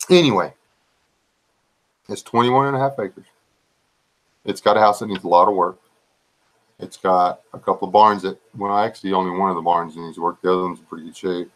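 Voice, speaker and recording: Slow, drawling low-voiced man. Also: lively intonation, a bit bright, low-quality recording. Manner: slow, drawling, low-key voice that viewers call relaxing or boring. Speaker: live stream host chatting with viewers. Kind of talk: rambling casual live chat.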